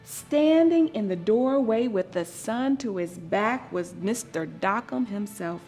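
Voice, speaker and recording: Slow, expressive woman reading a children's story. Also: medium pitch, soft background music. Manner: deliberate, expressive, dramatic storytelling delivery. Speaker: storybook reader. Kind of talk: scripted children's story read aloud.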